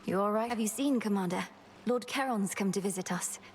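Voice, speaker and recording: A woman's voice: mid-range voice, fairly quick, slight background noise, lightly acted. A woman playing a dutiful young witch princess in a video game, formal, polite and resolute. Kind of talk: scripted video game dialogue.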